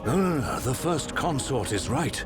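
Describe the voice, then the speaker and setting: An actor playing a measured, decisive older emperor with a regal, deliberate delivery in a formal fantasy game drama. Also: male voice, mid-range voice, very animated. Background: loud background music.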